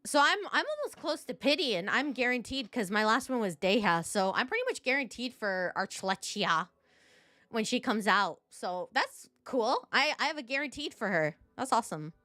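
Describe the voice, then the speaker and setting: A woman's voice: a little high, expressive, normal speed, pushed and bright, dry recording. A woman giving casual, chatty live commentary as she plays a video game, thinking aloud to her viewers.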